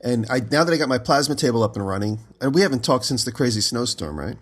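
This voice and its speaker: A man co-hosting a podcast, just out of bed with his voice lower than usual, telling long rambling stories from home over a remote call. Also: expressive, quick pace. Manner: rambling storyteller, giggly. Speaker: podcast co-host. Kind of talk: casual chat.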